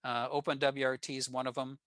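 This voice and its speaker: An older American man presents technical material informally. His voice is bright and forward, with a plain-spoken, explanatory manner. The sound is close and dry, with very little room in it. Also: mid-range voice, level tone, a little fast, clean tone, dry recording. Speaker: guest presenter at a radio club. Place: remote video call.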